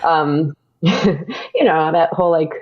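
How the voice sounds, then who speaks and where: A woman's voice: low-pitched, lively intonation, slow pace, slight background noise. A young woman, a podcast creator and actor, chatting casually as a guest and laughing often between fillers. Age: young adult. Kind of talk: casual interview chat. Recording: dull lo-fi sound.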